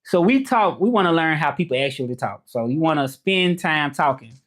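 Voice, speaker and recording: A man's voice: on the high side, expressive, fairly quick, a bit bright. A man in his thirties from Memphis with a Southern accent, chatting loosely on a livestream, greeting viewers and repeating himself as he talks. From Memphis, Tennessee. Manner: loose, repetitive, greets viewers and urges subscribing. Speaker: livestream host and French teacher. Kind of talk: casual livestream chat.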